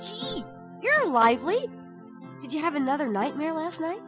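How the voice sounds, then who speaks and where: An actress playing a sharp-tongued young woman who works in a bookshop, teasing and sarcastic in a studio adventure game. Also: high voice, sing-song, normal speed. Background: game music.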